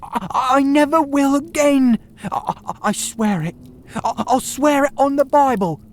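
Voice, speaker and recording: A man's voice: very high-pitched, a little slow, pitched above the performer's own voice. A man doing a shrieking, stammering young thief. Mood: desperate pleading. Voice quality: crackling voice. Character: terrified young male thief, pleading.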